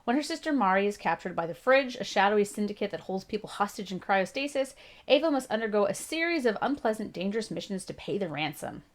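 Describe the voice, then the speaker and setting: Canadian woman, 30s, book-review host; gravelly, chatty, self-mocking, mid-pitched. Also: sing-song, fast. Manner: chatty and self-deprecating, with frequent laughs and restarts. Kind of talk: casual spoken book review.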